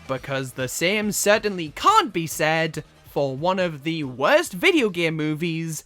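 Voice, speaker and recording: A woman's voice: sits low, very animated, a little slow, a bit bright, dry recording, soft background music. A woman delivering scripted movie review commentary as a video host, with theatrical, exasperated emphasis and some swearing.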